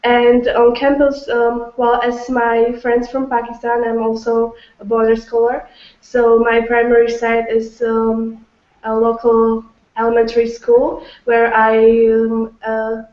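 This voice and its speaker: A woman's voice: on the high side, echoey room, dull audio. A young woman from Slovakia, a first-year student, introducing herself in a matter-of-fact way on a video call. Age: young adult. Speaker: student panelist. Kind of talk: casual self-introduction.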